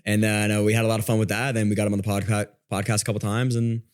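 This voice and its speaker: A man's voice: fairly low pitch, monotone, very fast. A man chatting casually as a podcast guest, telling stories informally and often interrupting himself. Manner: informal, self-interrupting storytelling. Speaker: podcast guest, online content creator. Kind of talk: casual chat.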